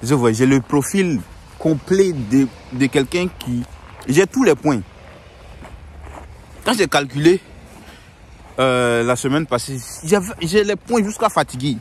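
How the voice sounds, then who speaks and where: A man's voice: a little high, expressive, unhurried, long pauses, slight background noise. A young man giving earnest, repetitive advice in a casual monologue, often restarting his sentences.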